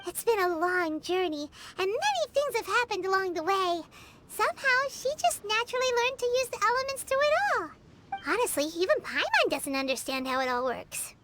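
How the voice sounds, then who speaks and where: Game role: tiny blunt fairy girl, extremely high squeaky pitch, swooping delivery, loud music underneath. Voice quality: squeaky, childlike. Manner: refers to self by name, blunt. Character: cheeky tiny floating fairy girl companion. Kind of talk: video game voice acting.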